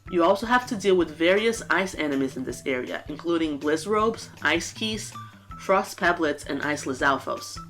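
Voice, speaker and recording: A woman's voice: deep voice, fairly bright tone. A woman with a nasal, congested voice from a cold, giving an earnest, scripted game ranking. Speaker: gaming YouTuber.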